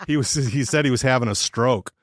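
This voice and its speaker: Man, medium pitch, sports podcast co-host, informal, joking, stammered repeats, dry room sound. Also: a little fast, no room sound. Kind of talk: casual sports podcast chat.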